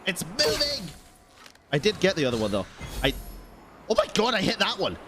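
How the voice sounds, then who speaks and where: Animated, fairly high-voiced male game streamer. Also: normal speed. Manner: expressive, slangy, sweary. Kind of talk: casual live gaming commentary. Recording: game music behind the voice.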